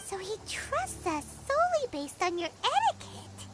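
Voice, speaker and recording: A tiny chirpy fairy girl, with a squeaky voice way up high that bounces all over the place. She chatters about herself in the third person. Loud game music plays under her lines. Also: wildly swooping pitch, unhurried, heavily acted. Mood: chatty curious.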